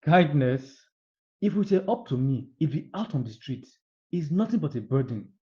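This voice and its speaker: A woman's voice: sits low, big pitch swings, moderate pace, warm tone, dull audio, voice pushed down. A woman voicing a cruel, scornful young stepbrother in a folktale. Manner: scornful, dismissive. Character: cruel sneering young stepbrother, a village bully. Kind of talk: folktale character voice.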